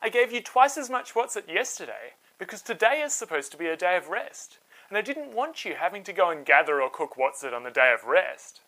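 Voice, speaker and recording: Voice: medium pitch, sing-song, brisk, sharp tone, rough recording. A storyteller voicing a commanding, easily exasperated God who gives firm instructions in a children's Bible story.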